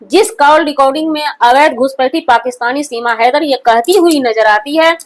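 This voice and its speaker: A woman's voice: high voice, normal speed. A woman gives opinionated news commentary in an emphatic, repetitive and accusatory manner. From India. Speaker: online commentary host.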